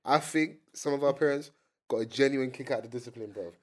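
A young man hosting a casual chat, telling animated stories with slang. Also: mid-range voice, quick pace. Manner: animated storytelling, British slang. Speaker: host.

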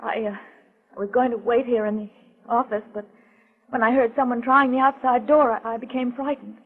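High, husky, anxious young lady. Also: steady tone, fairly quick, narrow, tinny audio. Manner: earnest, hesitant. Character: frightened, pleading, well-mannered young lady. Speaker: radio drama actor. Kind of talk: 1940s radio drama acting. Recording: thin, band-limited 1940s radio recording.

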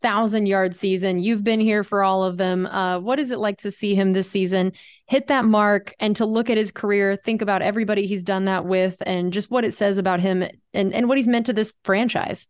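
A woman's voice: medium pitch, fairly even intonation, brisk, clean tone. A woman hosting a radio talk show, upbeat and agreeable, asking long set-up questions. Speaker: team reporter and show host.

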